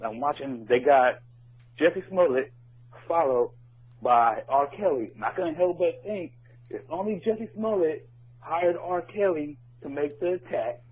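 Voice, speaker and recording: A man's voice: a little high, animated, a little slow, husky. A man leaving a casual, rambling voicemail as a listener over the phone. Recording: muffled narrowband phone voicemail.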